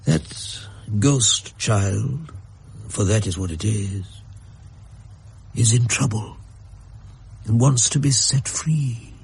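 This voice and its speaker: A man voicing a gentle, mystical old grandfather in a radio drama, speaking slowly and musingly. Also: lowish voice, creaky, slightly rough, exaggerated voice. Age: older.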